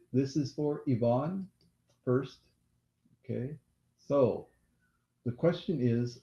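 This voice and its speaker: A man hosting a casual live-stream quiz game who has a loud voice, repeats each question and apologizes during asides. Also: medium pitch. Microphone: laptop mic. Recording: echoey room, dull lo-fi laptop audio.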